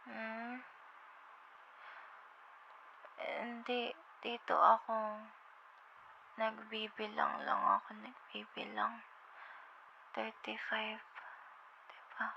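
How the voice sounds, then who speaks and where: A woman playing a sleepy, affectionate girlfriend in an intimate ASMR roleplay, with a Filipino accent and a drowsy, halting, tender murmur. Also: a little high, completely flat delivery. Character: sleepy, affectionate young girlfriend on a late-night call. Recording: muffled, with clear background noise.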